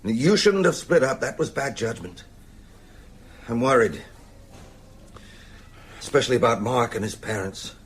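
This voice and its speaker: A man's voice: mid-range voice, animated, a little slow, pauses often, husky. An actor playing a bedridden, shrewd man who urges on vampire hunters with emphatic, oratorical speech in a radio drama. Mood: grave, brooding. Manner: emphatic, rhetorical, oratorical delivery. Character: bedridden male vampire hunter, shrewd, commanding, urgent strategist.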